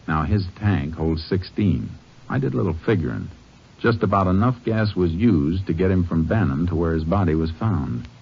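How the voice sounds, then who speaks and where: An actor playing a calm, methodical Texas Ranger detective in a 1950s radio drama, reasoning in a plainspoken way. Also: male voice, sits low, normal speed, creaky, slightly rough, full character voice. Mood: explaining. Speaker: Texas Ranger lead and narrator. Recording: dull lo-fi 1950s radio broadcast.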